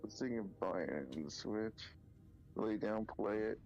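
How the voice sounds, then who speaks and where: Muffled, gravelly young man chatting. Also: fairly low pitch, expressive, normal speed, over music. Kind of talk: casual gaming chat.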